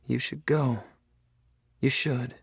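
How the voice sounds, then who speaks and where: A man's voice: medium pitch, fairly even intonation, slow, vocal fry, slightly rough, dry recording, studio quality, pitched above the performer's own voice, exaggerated voice. A man voicing a frail, sick young woman who is tearful and pleading.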